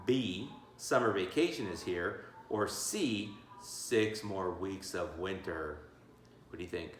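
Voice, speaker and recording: Animated man reading aloud, echoey room. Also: sits low, takes it slowly, slight background noise. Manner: theatrical, encouraging, chatty asides. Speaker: teacher reading a picture book aloud. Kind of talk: read-aloud storytelling with asides and questions to children.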